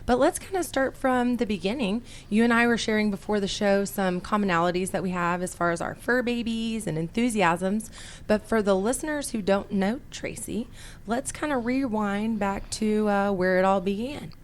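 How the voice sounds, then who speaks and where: A woman's voice: medium pitch, moderate pace, a little hiss or hum. A woman hosting a radio talk show in a studio, upbeat and chatty, laughing easily.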